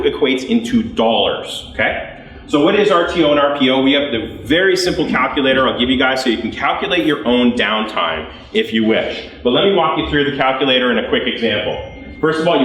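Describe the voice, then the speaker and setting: A man's voice: mid-range voice, fairly bright tone, recorded from a distance. A man with a Canadian accent giving a conversational, jokey live sales presentation to an audience, often tagging points with "okay?". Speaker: business development executive presenting. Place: event room with a live audience. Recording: echoey room.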